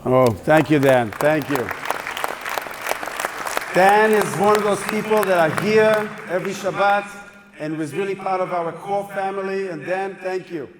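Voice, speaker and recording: A man with a high, bright voice rallies donors in a long-echoing hall, his upbeat, playful coaxing carrying over a murmuring crowd. He sounds like an emcee working the room. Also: measured pace, noisy background. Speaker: fundraising emcee. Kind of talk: live fundraising appeal to a crowd. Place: synagogue hall at an evening event.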